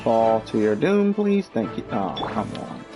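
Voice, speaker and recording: A man's voice: fairly low pitch, big pitch swings, measured pace. A man giving sarcastic, grumbling live commentary as he streams a video game. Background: game music.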